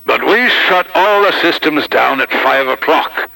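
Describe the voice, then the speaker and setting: A man voicing an older, impatient RAF duty officer on the telephone, gruff, curt and clipped. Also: a little high, animated, unhurried, sharp tone, pitched above the performer's own voice, full character voice, low-quality recording. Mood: brusque.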